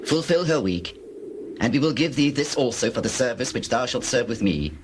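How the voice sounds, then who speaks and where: A man acting as a shrewd older patriarch in a scripture audio drama. His pitch moves in lively swings while his phrasing stays measured and formal. Birdsong and cooing doves sit clearly behind him. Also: noisy background, close to an everyday voice. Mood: firm, justifying. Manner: measured, formal, archaic diction. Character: Laban, shrewd older patriarch and father of two daughters.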